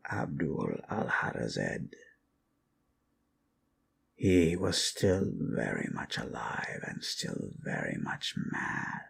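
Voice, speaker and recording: A man voicing an ancient, weary undead lich who tells history in a hushed, solemn, gravelly voice for an ASMR roleplay. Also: very deep voice, heavily acted. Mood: somber, confiding. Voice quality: gravelly, creaky. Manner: measured, hushed, solemn, with long pauses. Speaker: storyteller. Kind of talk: ASMR roleplay, slow improvised fantasy history lesson.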